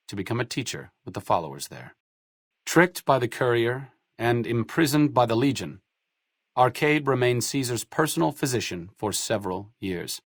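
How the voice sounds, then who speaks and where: A man narrating video game epilogues in a measured, solemn storytelling manner. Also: medium pitch, dry recording, clean studio sound, lightly acted. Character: somber male narrator telling a companion's fate.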